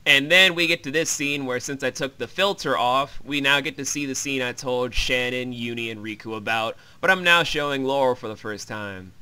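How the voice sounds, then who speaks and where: A man's voice: medium pitch, moderate pace, hardly any pauses, bright, forceful tone, clear, resonant tone. A man hosting a joking, crude and irreverent recap of a video game. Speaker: host, self-described comedic voice actor. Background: game music.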